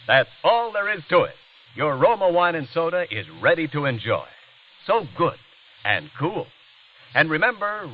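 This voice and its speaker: Lilting American man, 1940s radio pitchman. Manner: polished, genial salesmanship. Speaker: commercial announcer. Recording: dull vintage radio recording.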